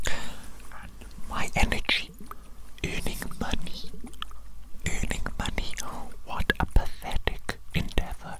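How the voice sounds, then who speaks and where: A woman performing an ASMR roleplay as a grandiose, scheming evil scientist, whispering theatrically and repeating her phrases. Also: poor audio. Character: grandiose evil mad scientist doctor, scheming and self-important.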